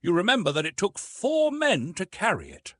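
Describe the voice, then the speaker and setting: A man voicing an earnest, soft-hearted young woman in an audiobook, with a hushed, pleading, formal delivery. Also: high-pitched, wildly swooping pitch, moderate pace, no room sound, studio quality, voice pushed up, heavily acted. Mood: thoughtful. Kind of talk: dramatised audiobook reading.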